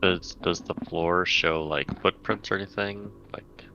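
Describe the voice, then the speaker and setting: A man's voice: sits low, fairly even intonation, a little slow, vocal fry, pushed and bright. A man playing a tabletop roleplaying game, asking questions in casual, tentative chat. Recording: dull lo-fi mic with faint noise.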